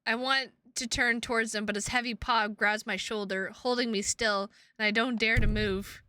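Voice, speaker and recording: A woman's voice: fairly high pitch, steady tone, moderate pace, bright, forceful tone, clean tone, no room sound. A woman streaming a visual novel, reading the text aloud and chatting to viewers with playful, goofy asides, on a close microphone. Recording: music behind the voice.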